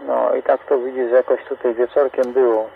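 A man's voice: mid-range voice, level tone, brisk, creaky. A man with a Greater Poland accent chatting casually as an amateur operator over CB radio. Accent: Polish, Greater Poland. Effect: CB radio transmission. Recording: tinny, noisy CB radio reception. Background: radio static.

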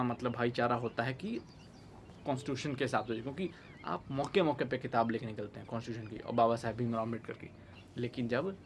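A young man talks to camera as a commentary host, his voice medium in pitch with plenty of gravelly fry. His delivery is emphatic and halting. He is recorded outdoors, with faint ambience and birds in the background. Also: a little hiss or hum. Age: young adult. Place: outdoors, overlooking a city.

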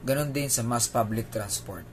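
A man's voice: mid-range voice, moderate pace, slight background noise. A man with a Filipino accent hosting a video, reading a scripted news explainer. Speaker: video host.

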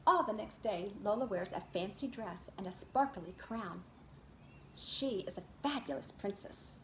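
A woman's voice: mid-range voice, lively intonation, a little slow, fairly bright tone. A middle-aged woman, a preschool teacher reading a picture book aloud and talking expressively to young children. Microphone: camera a short distance away. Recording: roomy echo, clear background noise.

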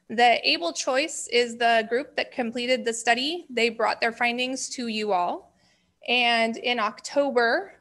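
A woman's voice: fairly high pitch, pushed and bright. A woman, a special education director, giving a formal report at a school board meeting in a strong, projecting voice.

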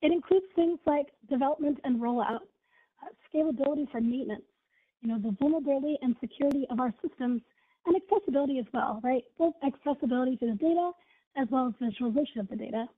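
A high-pitched woman with a scratchy, husky voice from being sick, presenting a webinar in an upbeat way. She leans on fillers like "you know" and "right". The audio is thin and tinny, as over a phone line. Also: fast. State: scratchy voice from illness. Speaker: webinar presenter, product manager.

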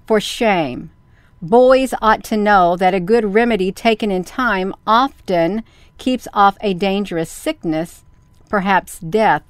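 A wise, motherly fairy from a storybook, read out by a woman. She scolds gently and patiently, taking her time over the words. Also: mid-range voice, slow, clear, resonant tone, no room sound, voice pushed down, natural voice. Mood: gently scolding.

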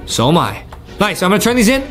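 A young man with a fairly high voice gives excited let's-play commentary. He is animated and repeats himself as he addresses viewers. Light game music plays behind him. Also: quick pace. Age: young adult. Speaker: gaming video host.

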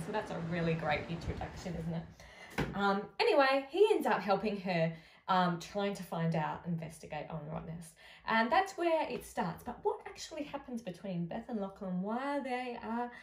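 Echoey, chatty Australian woman. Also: medium pitch. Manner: playful, animated, chatty. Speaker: author promoting a novel. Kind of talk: casual promotional chat to camera. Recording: echoey room sound.

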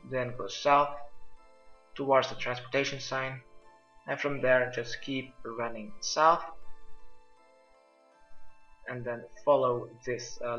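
Male game-guide narrator, bright and instructional, lo-fi mic with music behind. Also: medium pitch, roomy sound. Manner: instructional, step-by-step. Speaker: gamer presenting a quest guide. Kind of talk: video game walkthrough commentary.

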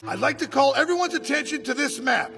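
Gravelly, blustering, excitable police detective. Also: male voice, extremely high pitch, fast, raised voice, full character voice. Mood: earnest, formal. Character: bumbling, loud, earnest male police detective. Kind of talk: anime dub acting.

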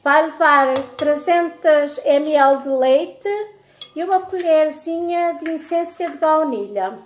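A woman's voice: sits high, measured pace. A middle-aged woman with a European Portuguese accent chatting casually and affectionately to her viewers as a home vlogger. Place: home kitchen, indoors. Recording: echoey domestic kitchen.

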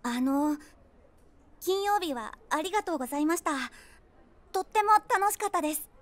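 A woman's voice: very high voice, expressive, moderate pace. An actress playing an eager, cutesy young woman on a date in a comedy audio drama, speaking politely. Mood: eager, probing.

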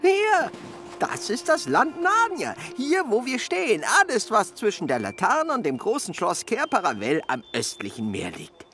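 An actor playing a timid, courteous, excitable male faun in a storybook audio drama, stammering and politely flustered. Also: sits high, normal speed, soft background music, exaggerated voice. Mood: courteous, delighted.

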